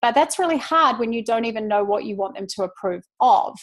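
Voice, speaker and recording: A woman with a New Zealand accent talks with a slightly high voice in a relaxed podcast chat. She reflects out loud and sprinkles in fillers as she goes. She sounds like a woman in her thirties. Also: fairly quick. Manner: reflective, chatty, many fillers. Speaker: podcast guest, psychologist. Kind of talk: casual podcast conversation.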